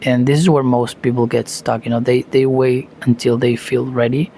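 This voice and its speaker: Argentine man with a gravelly, flat voice. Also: mid-range voice, normal speed, a little hiss or hum. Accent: Argentine Spanish. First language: Spanish. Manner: leans on "you know" and "um", restarts phrases. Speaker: language learning youtuber. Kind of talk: casual talking-head advice.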